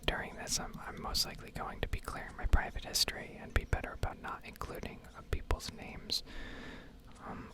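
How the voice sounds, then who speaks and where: Woman in a breathy whisper. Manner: casual, rambling, hesitant. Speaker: ASMR video creator. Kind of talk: whispered ASMR rambling over gameplay. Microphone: close microphone.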